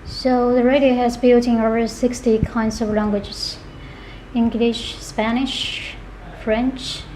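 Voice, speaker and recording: Slow-talking, higher-voiced woman giving product demo. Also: flat delivery, darkish tone, slight background noise, poor audio. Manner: halting, listing items one by one. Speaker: product demonstrator. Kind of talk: unscripted product demo walkthrough.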